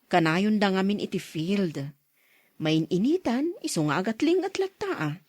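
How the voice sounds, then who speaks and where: A woman's voice: mid-range voice, animated, moderate pace, a little mellow, no room sound, studio quality, close to an everyday voice. A woman playing a good-natured, chatty married school coworker in a Philippine radio drama. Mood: persuasive.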